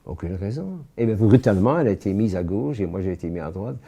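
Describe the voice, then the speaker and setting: A man, giving a personal testimony in a grave, measured way with frequent pauses and restarts. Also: fairly low pitch, expressive, vocal fry, dark tone, slightly rough. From born in France. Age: 80s.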